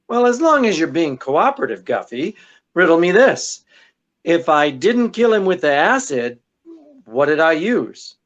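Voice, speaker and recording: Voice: medium pitch, big pitch swings, measured pace, highs cut off. An actor playing a wisecracking, unflappable male amateur detective in 1940s radio style, with a droll, teasing, hesitant delivery. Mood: wry, amused. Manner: droll, sardonic, chuckling hesitations.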